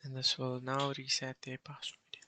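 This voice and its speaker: A young man with a husky, breathy voice giving an understated step-by-step software walkthrough. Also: medium pitch, completely flat delivery, moderate pace, background noise. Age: young adult.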